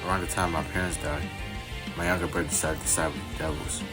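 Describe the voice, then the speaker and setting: A man voicing an earnest, guarded young male devil hunter from another universe, delivering animated-story dialogue. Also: very deep voice, quick pace, loud music behind the voice. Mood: somber, resolute. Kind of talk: animated-series character dialogue, recorded in repeated takes.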